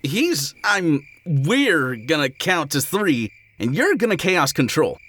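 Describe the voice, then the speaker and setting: A man's voice: a little high, big pitch swings, slow, fairly bright tone, full character voice. A man playing a rugged, laid-back, snarky cartoon hedgehog hero in a fan audio drama, blunt and sardonic. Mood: cool, snarky.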